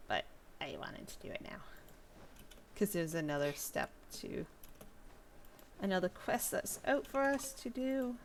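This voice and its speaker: A woman's voice: medium pitch, big pitch swings, a little slow, long pauses, a little hiss or hum. A woman game streamer giving casual live commentary in a playful, chatty manner.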